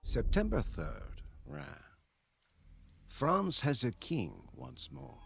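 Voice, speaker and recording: An actor playing a weary, devoted French soldier, narrating a war journal for a game in a solemn, dramatic, gravelly voice. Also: male voice, mid-range voice, very animated, heavily acted. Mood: grave, resolute. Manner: solemn, dramatic, measured delivery. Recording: loud music under the voice.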